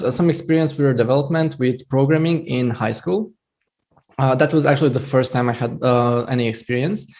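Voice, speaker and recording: A man's voice: mid-range voice, darkish tone. A young man from Croatia, an English speaker, telling his career story casually on a headset mic in a small home room, with many fillers and restarts. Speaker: software developer guest.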